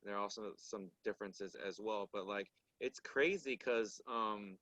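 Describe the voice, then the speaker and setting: Male guest, native Korean speaker, lowish voice with a tense bright edge, dry close sound. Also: no echo, low-quality recording. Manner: measured, thoughtful, frequent restarts. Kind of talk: casual discussion.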